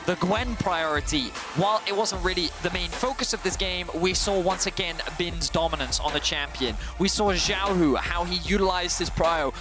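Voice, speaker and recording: A man whose first language is Welsh breaks down an esports match in a measured, analytical way as color commentator, with a slightly raised pitch. Clear game music sits behind his voice. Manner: measured, analytical, self-deprecating asides.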